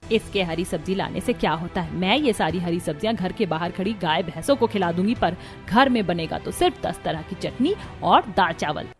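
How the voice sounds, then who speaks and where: A woman's voice: lowish voice, expressive, normal speed, voice pushed down. A woman voicing a stern, demanding middle-aged mother-in-law in a family story, with a commanding, scolding manner. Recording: music behind the voice.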